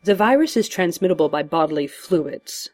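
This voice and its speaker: A woman voicing a gruff, exhausted male vigilante in a narrated story, terse, urgent and commanding. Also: lowish voice, expressive, normal speed, darkish tone. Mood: guarded.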